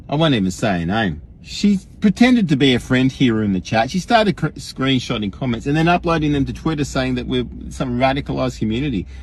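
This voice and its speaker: A man's voice: medium pitch, husky. An Australian man hosting a livestream, ranting casually and wryly to his followers as he addresses them directly. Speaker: livestream host.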